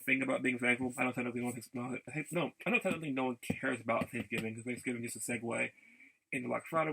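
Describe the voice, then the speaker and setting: Gravelly, bright-voiced man rambling casually. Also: medium pitch, poor audio. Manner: halting, repeats phrases. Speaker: video blogger. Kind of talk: casual rambling vlog update.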